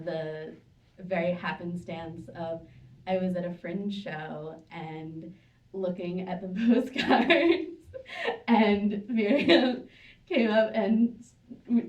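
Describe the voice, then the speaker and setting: A woman's voice: medium pitch, slow, darkish tone, lo-fi sound. A woman speaking at a live panel, talking casually with many fillers and restarts. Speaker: panel guest. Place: synagogue hall, live audience. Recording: echoey room, distant mic.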